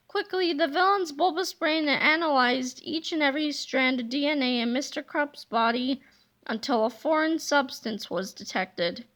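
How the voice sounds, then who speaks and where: A woman reading a children's chapter book aloud with lively, expressive narration. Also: high voice. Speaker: reader.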